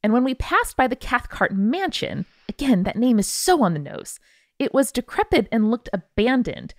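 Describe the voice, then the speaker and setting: A woman's voice: a little high, sing-song, moderate pace, darkish tone, no room sound, studio quality. A woman hosting a podcast, reading out listener mail in a casual, irreverent way with wry asides. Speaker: podcast host.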